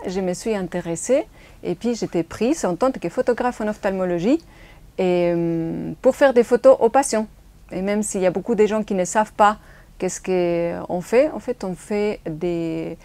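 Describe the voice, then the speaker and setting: Woman with a Spanish accent, mellow voice. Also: on the low side. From Spain. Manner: plain, slightly non-native phrasing. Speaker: graphic designer and photographer. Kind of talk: interview answers.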